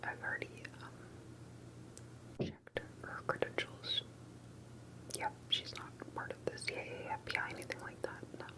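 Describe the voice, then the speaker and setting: Hushed, breathy whispering ASMR interviewer. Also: low-quality recording. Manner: hushed, deliberate, halting questioning. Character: earnest, cautious alien abduction researcher and survivor. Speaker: ASMR performer playing an interviewer.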